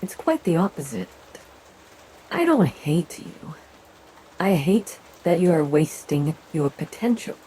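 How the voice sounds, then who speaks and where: A woman playing a controlling, bitter handler who demands obedience, speaking in a stern, menacing, deliberate way in an audio drama. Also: low voice, warm tone, full character voice, rough recording. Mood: cold insistence. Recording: rain and noise behind voice.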